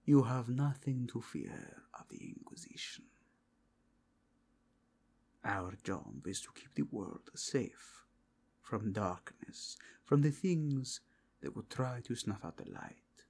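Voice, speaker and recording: A man voicing a suave, probing cardinal of a fantasy Inquisition in an ASMR roleplay, measured and formal, with a breathy, whispery delivery. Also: medium pitch, very animated, darkish tone, full character voice. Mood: reassuring.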